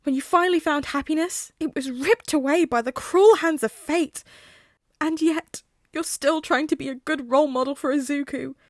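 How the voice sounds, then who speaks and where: An earnest young hero as a woman reader plays him, gushing and dramatic. The voice sits high above the reader's own and pushes out loudly. It suits an audiobook-style fan story, recorded dry and close. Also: moderate pace, no room sound, close to an everyday voice. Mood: tearful, gushing. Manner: effusive, dramatic monologuing.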